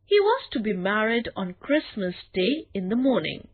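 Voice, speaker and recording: A woman narrating a scripted children's bedtime story in a deliberate, expressive way. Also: mid-range voice, phone-quality sound.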